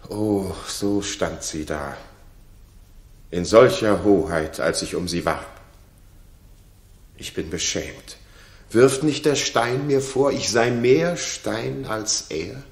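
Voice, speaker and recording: An older king full of grief and remorse. His low delivery is slow and fervent, in the grave stage manner of an old radio play. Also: gravelly. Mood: remorseful awe. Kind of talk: 1960s German radio drama acting. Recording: roomy 1960s radio recording.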